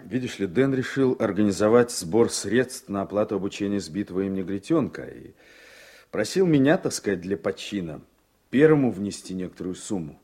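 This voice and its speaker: An actor playing an earnest, emotional political aide in a radio play, with a slightly husky voice. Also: male voice, on the low side, animated, moderate pace, warm tone. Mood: indignant.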